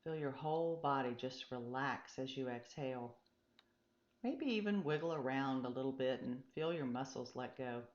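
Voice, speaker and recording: Woman voicing a calm, low-voiced meditation guide, roomy sound. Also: moderate pace, dull audio. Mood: soothing, guiding. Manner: gentle, reassuring, instructive. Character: calm, encouraging, patient meditation teacher and guide. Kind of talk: storybook character dialogue.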